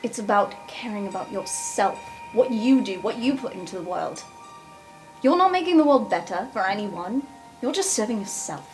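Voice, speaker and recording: An anxious young student appeals earnestly to a rival in a tabletop roleplay podcast, voiced by a woman. Her voice is mid-pitched and sincere. Music runs clearly behind her. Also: lively intonation, moderate pace, darkish tone, natural voice, poor audio. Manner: earnest, pleading.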